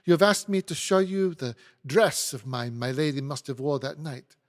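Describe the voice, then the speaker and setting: A man's voice: fairly high pitch, expressive, normal speed, long reverb tail, natural voice. A man voicing a fierce, disdainful French maid with a French accent and insistent, foreign phrasing.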